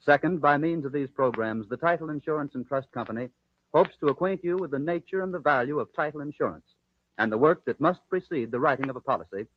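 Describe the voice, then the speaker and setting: A man's voice: a little high, a little hiss or hum. An American man working as a 1940s radio announcer, reading scripted copy in a formal, polished manner. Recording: dull lo-fi 1940s radio recording.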